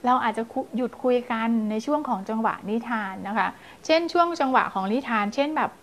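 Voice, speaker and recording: A high-voiced woman in her thirties speaks to parents as a child development teacher, animated and expressive, breaking into playful story voices to demonstrate. She is close on a lapel mic in a small indoor studio. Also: a little fast. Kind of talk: parenting advice video. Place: small indoor studio in front of blue backdrop.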